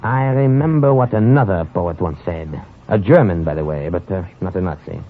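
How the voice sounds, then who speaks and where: Suave deep-voiced poet spy, old radio. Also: male voice, normal speed. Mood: gallant, teasing. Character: suave smiling poet spy, man, gallant and sly. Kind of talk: 1950s radio drama acting, narration and dialogue. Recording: scratchy, muffled 1950 radio recording.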